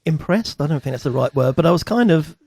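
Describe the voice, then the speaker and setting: A man's voice: on the high side, lively intonation, fast, creaky, darkish tone, dry recording. A man chatting casually about music on the radio, thoughtfully correcting himself as he goes.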